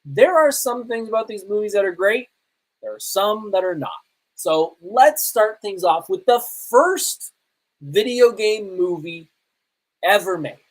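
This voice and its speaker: A woman's voice: mid-range voice, lively intonation, measured pace, low-quality recording. A woman hosting a live podcast with casual, emphatic, opinionated commentary in a conversational way. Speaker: podcast host.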